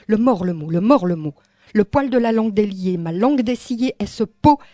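A woman performing spoken-word poetry in an incantatory, repetitive way, swinging between hushed and forceful delivery. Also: medium pitch, expressive, fairly quick, no room sound, studio quality. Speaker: poet.

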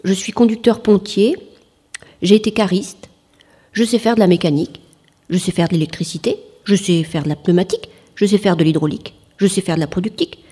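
A woman in her late twenties talks about herself in an interview. Her voice is husky and mellow. She speaks plainly, in short sentences that often run like lists. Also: medium pitch, a little fast. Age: late 20s. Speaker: interviewee, industrial technician returning to school.

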